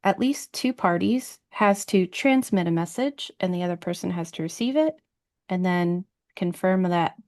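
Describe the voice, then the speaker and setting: A woman's voice: fairly low pitch, normal speed, a little mellow. A woman hosting a podcast interview, explaining her ideas in a chatty way and often checking in with "right?". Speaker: podcast host. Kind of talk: conversational interview.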